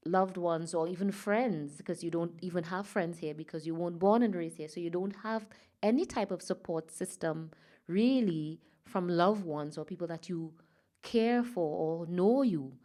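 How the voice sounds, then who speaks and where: A woman from Trinidad and Tobago, a guest in an interview, talking softly and reflectively with many pauses and fillers. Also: fairly low pitch. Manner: soft, reflective, halting with fillers. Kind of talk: personal interview.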